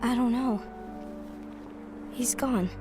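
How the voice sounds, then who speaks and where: A troubled young fantasy heroine with a high, feminine voice. She speaks earnestly and pleadingly, with swelling music behind her. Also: fairly even intonation, slow. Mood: worried, subdued. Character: earnest, troubled young female warrior leader. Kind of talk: dramatic animated fantasy game acting.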